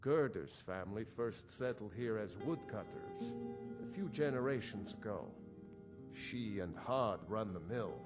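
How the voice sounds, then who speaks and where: An earnest, opinionated fantasy-game blacksmith, music behind. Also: male voice, lowish voice, very animated, unhurried. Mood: grave, explanatory. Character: opinionated Nord blacksmith, earnest, loyal to the Empire. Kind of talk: scripted video game dialogue.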